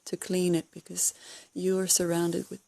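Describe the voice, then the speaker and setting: A woman leading a guided meditation, giving calm, unscripted instructions in a soothing, breathy way with gentle pauses. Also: on the low side, dry recording. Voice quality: breathy, husky, creaky.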